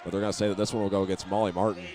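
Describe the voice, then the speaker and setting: Fast, low-voiced man calling basketball. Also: flat delivery. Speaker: play-by-play announcer. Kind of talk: live high school basketball commentary. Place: basketball game venue.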